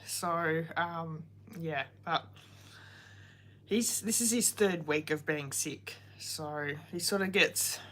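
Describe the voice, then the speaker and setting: Woman, forties, slightly low voice, sore throat from a cold, chatty livestream host greeting viewers. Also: a bit bright. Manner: chatty, greets viewers by name, rambling asides. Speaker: card-making livestream host. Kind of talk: casual livestream chat with viewers.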